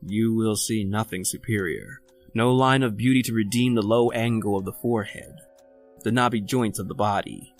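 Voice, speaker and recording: A deep male voice of an actor playing a self-possessed convict doctor in an old-time radio drama. He is formal, eloquent and theatrical, narrating and speaking like a man of the world. Soft music runs underneath. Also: fairly even intonation, moderate pace, close to an everyday voice. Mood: grave, ominous.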